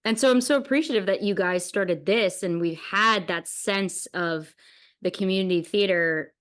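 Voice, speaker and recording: A woman's voice: medium pitch, normal speed, a bit bright. A woman hosting a casual interview, speaking in an enthusiastic, earnest way. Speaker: podcast host and interviewer.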